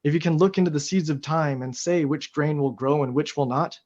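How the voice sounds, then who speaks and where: A man's voice: fairly high pitch, brisk, a little mellow, lightly acted. A man playing a bold noble general who questions and declaims in a Shakespearean radio play. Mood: wary questioning. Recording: dull, lo-fi sound.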